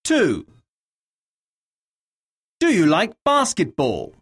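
A woman narrating a scripted English lesson, reading out words, questions and dictation in a deliberate, enunciated way with pauses. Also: lowish voice, wildly swooping pitch, husky.

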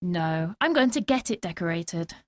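Woman reading lesson dialogue, slow and clear, lots of pitch movement. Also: lively intonation, dry recording, studio quality. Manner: slow, clearly spoken textbook delivery. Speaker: dialogue reader in a language lesson.

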